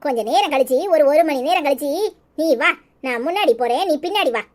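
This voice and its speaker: A woman playing a sharp-tongued, suspicious village wife in a melodrama, scolding and taunting in a southern Tamil Nadu accent. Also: high-pitched, animated, brisk. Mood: suspicious, bossy. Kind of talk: melodramatic village serial acting.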